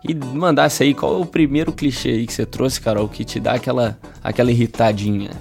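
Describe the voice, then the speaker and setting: A man's voice: medium pitch, steady tone, fairly quick, gravelly, darkish tone, soft background music. A man with a Brazilian Portuguese accent hosting a casual podcast chat, playful and chatty, laughing often. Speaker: podcast host.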